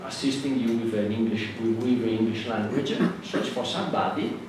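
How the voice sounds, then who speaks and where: A man with an Italian accent, a native Italian speaker, giving a classroom lecture in a halting way with many fillers and restarts. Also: lowish voice. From Italy. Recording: echoey hall from far off with noise.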